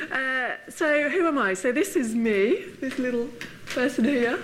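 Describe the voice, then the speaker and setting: An English woman giving a friendly public lecture, laughing often and filling pauses with ums and uhs. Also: high-pitched, lively intonation, background noise. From England. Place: lecture hall.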